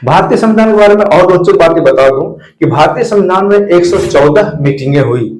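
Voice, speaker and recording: Male explainer presenter, slightly high pitch, emphatic teaching delivery, roomy echoing sound. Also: expressive, a little fast, darkish tone. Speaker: video presenter. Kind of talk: explainer talk to viewers. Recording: echoey room.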